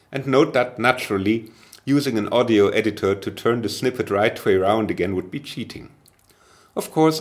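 A man hosting a podcast, interviewing a guest and reading wry scripted announcements. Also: on the low side. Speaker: podcast host.

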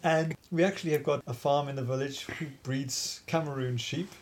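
A man chatting in an interview about organizing a dance event, halting with many restarts and laughs. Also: fairly high pitch. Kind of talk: casual interview chat.

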